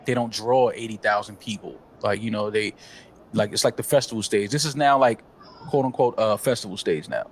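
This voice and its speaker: A man co-hosting a talk show, giving opinions in a measured, filler-heavy way. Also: on the low side. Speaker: podcast co-host. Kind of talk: casual chat.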